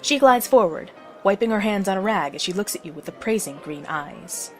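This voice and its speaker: Female narrator, mid-range pitch, scripted storytelling, addressing the listener as the main character, soft music bed. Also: lively intonation, moderate pace.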